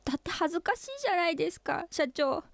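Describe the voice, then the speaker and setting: A woman's voice: very high voice, quick pace, gravelly, no echo. An actress playing an earnest, forthright female office employee pressing her boss in a comic radio drama. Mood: earnest, embarrassed.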